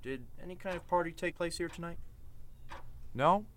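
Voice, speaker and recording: An actor playing a polite, businesslike investigator going door to door in a radio drama, courteous and apologetic. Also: male voice, on the high side, normal speed, slight background noise, natural voice. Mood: apologetic, official. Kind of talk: old-time radio drama acting.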